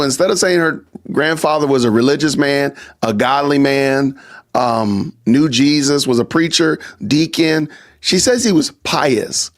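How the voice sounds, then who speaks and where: A man hosting a live stream podcast, talking casually to his chat and repeating rhetorical questions. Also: mid-range voice. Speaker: podcast host.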